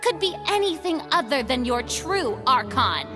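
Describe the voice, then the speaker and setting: A woman's voice: high-pitched, big pitch swings, normal speed, over music. A woman playing a proud, theatrical young female archon in a video game, pompous and grand yet shaken underneath. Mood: indignant, grandiose. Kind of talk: dramatic video game voice acting.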